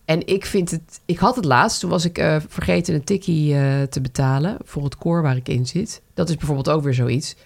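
A woman's voice: low-pitched, normal speed, gravelly, hardly any pauses, darkish tone. A woman talking casually as a podcast co-host, emphatic about her opinions. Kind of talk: casual conversational chat.

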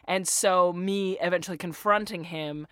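A woman's voice: lowish voice, moderate pace, smooth, no room sound. A young adult woman from Russia, a comedian guest chatting on a podcast in a self-mocking way, full of "like" fillers. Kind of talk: casual podcast chat.

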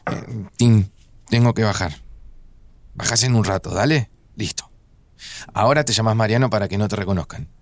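An actor with an Argentine accent playing a frazzled, dramatic groom in an audio drama, harried in manner. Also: male voice, fairly low pitch, normal speed, pauses often, natural voice. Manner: harried, stammering. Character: frazzled, dramatic groom on his wedding day. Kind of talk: Spanish-language audio fiction acting.

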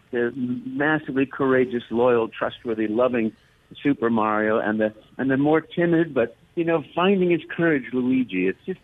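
A man's voice: mid-range voice, a little hiss or hum. Enthusiastic man on a thin phone line. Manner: warm, enthusiastic, repeats words when excited. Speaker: guest, voice actor. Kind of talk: storytelling interview talk.

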